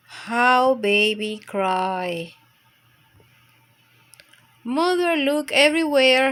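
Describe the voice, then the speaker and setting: A woman's voice: fairly high pitch, fairly bright tone. A woman reading a picture book aloud as a storytime narrator for young children.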